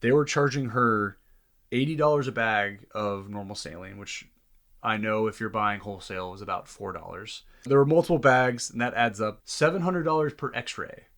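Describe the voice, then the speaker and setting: A man's voice: on the low side, moderate pace, fairly bright tone. A man speaking as a doctor in an earnest, reflective interview conversation. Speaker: doctor, podcast guest.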